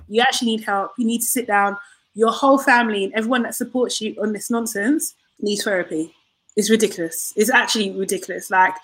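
A woman's voice: medium pitch, a bit bright, poor audio. A woman co-hosting a casual panel chat, talking in a heated, emphatic rant.

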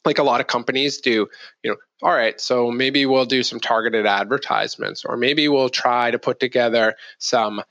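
A man's voice: medium pitch, fairly even intonation, moderate pace, a bit bright, no room sound. Man in a casual interview, muffled audio. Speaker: podcast guest, marketing executive.

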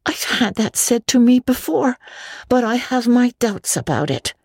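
A woman's voice: a little high, expressive, unhurried, a little mellow, breathy edge, no echo, clean studio sound, pitched above the performer's own voice, full character voice. A woman voicing an imaginative, talkative young girl in a storybook reading, earnest and dramatic, with gushing chatter. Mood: dreamy, happy.

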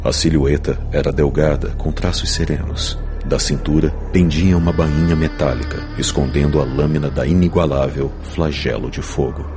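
A man narrating an audiobook story in a solemn, measured, theatrical manner. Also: very deep voice, fairly even intonation. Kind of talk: dramatic scripted audiobook narration. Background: music.

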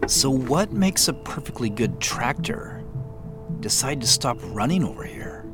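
An actor playing an excitable young man in an audio drama, awestruck by strange events. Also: medium pitch, unhurried, over music. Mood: puzzled.

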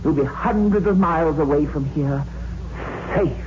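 An actress playing a desperate, pleading wife in an old-time radio suspense drama, speaking with urgent, earnest dramatic emphasis. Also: female voice, low-pitched, moderate pace, natural voice. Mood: insistent, conspiratorial. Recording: dull old radio recording, faint noise.

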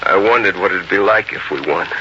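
An actor playing an earnest young frontier farmer and soldier in dramatic 1940s radio style. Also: male voice, low voice, a little fast, sharp tone, a little hiss or hum. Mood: dazed, somber. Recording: dull 1940s radio recording, music behind.

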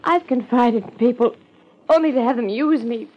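A woman's voice: fairly high pitch, normal speed, close to an everyday voice. A woman acting as an evasive young heiress in hiding in a 1950s radio drama, hesitant and pleading. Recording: muffled old radio drama.

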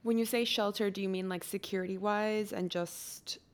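A woman from Costa Rica hosts a casual podcast. She talks in a chatty, animated way. Her voice is mid-pitched, and she laughs easily. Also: normal speed. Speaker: podcast host.

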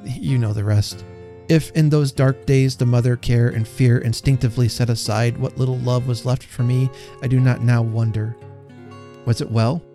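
A man's voice: medium pitch, warm tone, natural voice. A man playing a repentant, tender father who speaks solemnly and earnestly in formal storybook phrasing. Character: sombre repentant man, tender, parting from his children.

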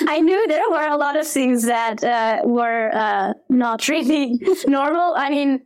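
A woman's voice: high voice, lively intonation, slow pace, no room sound. A woman in her thirties from Belgium, a guest actor in a casual interview, speaking hesitantly with many fillers and restarts.

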